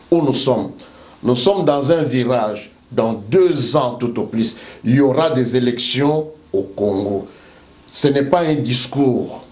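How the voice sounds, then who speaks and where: Emphatic preaching pastor, lively pitch. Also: male voice, roomy sound. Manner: preaching cadence, emphatic rhetorical lists. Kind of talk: impassioned debate speech.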